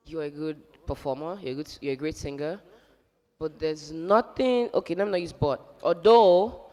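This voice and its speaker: A woman judge giving direct, coaching advice with quick asides. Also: low voice, darkish tone. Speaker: talent show judge. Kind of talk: live critique. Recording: distant in reverberant hall.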